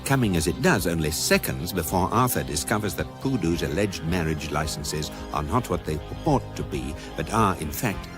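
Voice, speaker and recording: An actor playing the dry, erudite narrator of a science-fiction radio comedy, reading wordy script in a deadpan manner. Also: male voice, low voice, moderate pace, hardly any pauses, over music. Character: dry, erudite male narrator of absurd space guide.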